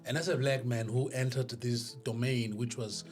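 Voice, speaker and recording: A South African man speaking as a podcast guest, a professional speaker telling his story in a deliberate, emphatic, oratorical way. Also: medium pitch, steady tone, a little mellow. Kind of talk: motivational storytelling in an interview. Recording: music behind the voice. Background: music.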